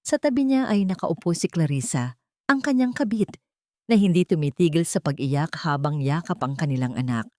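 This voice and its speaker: Low-voiced woman narrating a drama. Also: lively intonation, moderate pace, a little mellow, no room sound, studio quality. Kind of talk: dramatic scripted storytelling.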